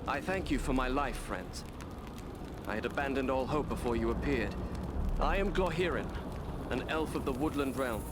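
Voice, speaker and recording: An actor playing a wary, courtly woodland elf warden in a fantasy game, speaking formally and solemnly. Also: male voice, mid-range voice, expressive, moderate pace, heavy background noise, lightly acted. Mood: grateful, formal. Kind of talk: fantasy game cutscene acting.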